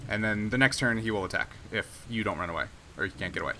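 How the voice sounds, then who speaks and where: A man's voice: lowish voice, quick pace, sharp tone, a little hiss or hum. A man acting as game master, casually narrating a tabletop role-playing game and explaining its rules.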